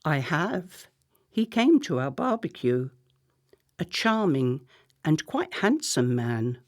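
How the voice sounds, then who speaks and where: A woman acting a discreet, ambitious campaign manager in a radio play, controlled, deferential and deliberate. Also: deep voice, big pitch swings, heavily acted. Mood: guarded.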